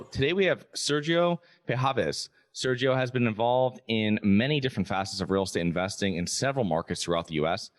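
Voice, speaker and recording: A man hosting an interview podcast, speaking in a measured way as he introduces his guest and asks questions. His pitch is medium and his delivery is steady. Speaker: podcast host.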